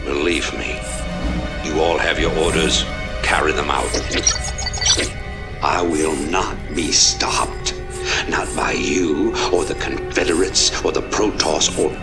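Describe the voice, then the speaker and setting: Sci-fi game tyrant-in-waiting: cold, commanding man, very deep, slow and menacing. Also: fairly even intonation, loud music behind the voice, full character voice. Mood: cold resolve. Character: cold, ruthless male rebel leader bent on ruling. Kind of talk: dramatic sci-fi game cinematic acting.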